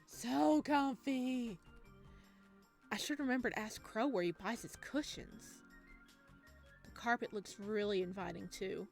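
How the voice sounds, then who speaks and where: A woman narrating a visual novel in a playful let's play, chatty and giggly, with expressive reactions. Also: medium pitch, moderate pace, gravelly. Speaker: gaming video host reading a visual novel aloud. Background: game music.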